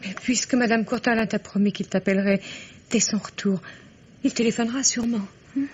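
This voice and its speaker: An actress playing an anxious, ailing middle-aged mother in a French radio mystery, halting and worried. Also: fairly high pitch, fairly even intonation, husky. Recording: dull, noisy vintage radio recording.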